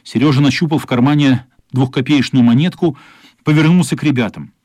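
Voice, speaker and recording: A man's voice: mid-range voice, a little fast, darkish tone, no echo, studio quality, natural voice. A man narrating a children's radio play as a scripted storyteller.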